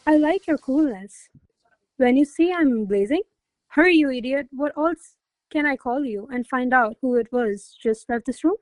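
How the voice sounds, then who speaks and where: A woman's voice: sits high, lively intonation, moderate pace, no room sound, low-quality recording. A woman playing an imperious, hot-tempered noblewoman scolding her servants in a theatrical radio play. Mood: angry, impatient. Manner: haughty, commanding, theatrical exclamations. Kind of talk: radio play acting of a classic comedy.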